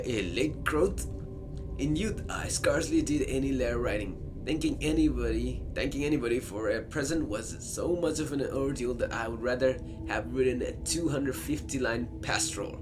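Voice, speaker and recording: A man hosting a let's play, talking casually as he thinks aloud and reads out game text. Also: medium pitch. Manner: chatty, thinks aloud, repeats himself. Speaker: gamer, let's play host. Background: game music.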